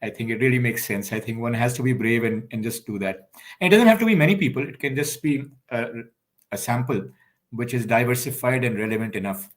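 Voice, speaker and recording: A man from India speaking as a guest on an online panel, giving advice in an emphatic, animated way. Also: mid-range voice, brisk. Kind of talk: panel discussion.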